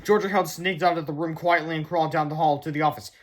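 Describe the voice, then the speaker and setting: A man's voice: on the high side, fairly even intonation, quick pace. A man narrating a children's book as a read-aloud. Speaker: storybook reader.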